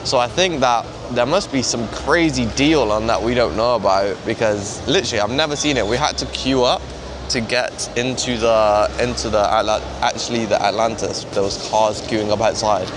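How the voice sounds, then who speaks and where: A young man in his twenties talks casually and chattily straight to his viewers, with a middle-pitched voice. He's recorded on a handheld camera, and steady street and traffic noise comes through clearly behind him. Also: moderate pace. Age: 20s. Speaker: vlogger. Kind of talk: casual vlog chat. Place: back seat of a car, then a busy mall.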